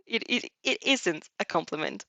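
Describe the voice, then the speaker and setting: A woman's voice: on the low side, expressive, moderate pace, pushed and bright, no room sound. Female audiobook-style fanfic narrator, dramatic delivery with occasional stumbles, dry but slightly muffled lo-fi sound. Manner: dramatic, stumbles over words and repeats them. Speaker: fan fiction reader and dubber. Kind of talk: expressive audio fanfiction narration with character voices.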